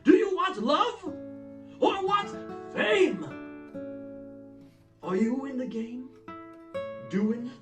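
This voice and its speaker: A man voicing an overwrought dramatic reader of sultry pop lyrics, hammy and drawn out with long pauses. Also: extremely high pitch, lively intonation, echoey room, distant mic, soft background music, pitched above the performer's own voice, exaggerated voice. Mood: mock sultry.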